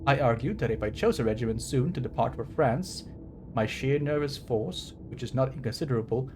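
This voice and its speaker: A man giving bookish, wry commentary as a game streamer, sometimes reading passages out. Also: fairly quick. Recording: clear rumbling background noise.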